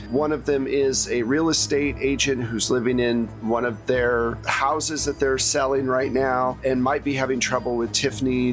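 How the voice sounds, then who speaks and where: A man's voice: mid-range voice, fairly bright tone. Informal man hosting a tabletop game. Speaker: game master and host. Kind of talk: conversational recap of tabletop game story.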